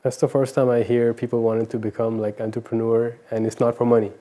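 Mellow, even-toned male interviewer. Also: medium pitch, quick pace. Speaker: interviewer, host. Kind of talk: casual studio interview. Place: studio. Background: plucked guitar music.

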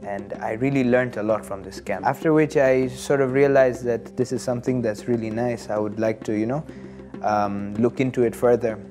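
A man in his early twenties from Tanzania, speaking as an interview guest in a measured, hesitant and earnest way. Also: mid-range voice, fairly even intonation, darkish tone. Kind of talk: studio interview, personal reflection. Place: studio. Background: plucked guitar music.

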